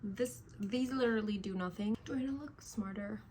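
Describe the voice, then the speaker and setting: A woman's voice: mid-range voice, normal speed, darkish tone, a little hiss or hum. A young woman in her twenties with a light Persian accent, chatting casually and rambling to camera about learning languages. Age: 20s. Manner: chatty and rambling, self-deprecating asides. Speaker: vlogger. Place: indoors at home at golden hour, with iced coffee.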